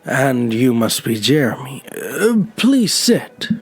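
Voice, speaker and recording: An actor playing a lonely elderly man who was once homeless, with a hesitant, gentle, wry way of talking in an audio drama. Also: mid-range voice, big pitch swings, takes it slowly, gravelly, husky, faint music behind, exaggerated voice. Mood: polite, welcoming. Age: older. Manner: hesitant, reflective. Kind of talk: naturalistic audio drama dialogue.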